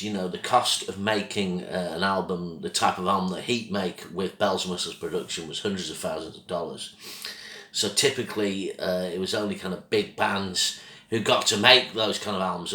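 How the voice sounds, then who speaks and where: A man hosting his own channel with a casual, rambling album review, his voice croaky from a throat infection, coughing now and then. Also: low-pitched, sharp tone, echoey room. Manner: rambling, loses track of names, apologetic.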